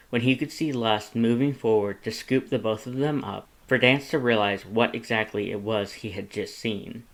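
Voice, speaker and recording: A man reading fan fiction aloud as audiobook narration, with even, steady storytelling. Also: fairly low pitch.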